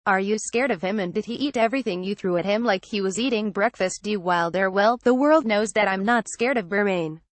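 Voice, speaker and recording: A woman's voice: medium pitch, brisk, hardly any pauses, no room sound. Synthetic female narrator, very dry sound. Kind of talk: scripted reading of a transcript.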